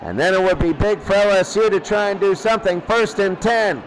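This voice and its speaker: A man's voice: sits high, a little fast, low-quality recording. A man doing live radio play-by-play football commentary in clipped phrases that he often repeats. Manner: repeats phrases, clipped present-tense calls.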